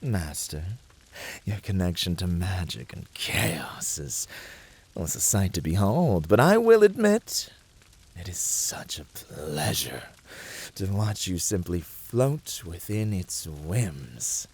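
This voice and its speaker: A low-voiced, playful incubus acted by a man who teases and flirts in an ASMR roleplay. He draws his words out slowly and theatrically, swooping up and down with lively emphasis. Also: breathy edge, heavily acted. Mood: adoring, seductive. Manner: theatrical, teasing, drawn-out delivery with swooping emphasis. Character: flirty, fiery male incubus, playful teasing servant-rival.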